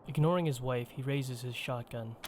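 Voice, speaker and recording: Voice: mid-range voice, normal speed, slight background noise. A person reading scripted narration for a video game story.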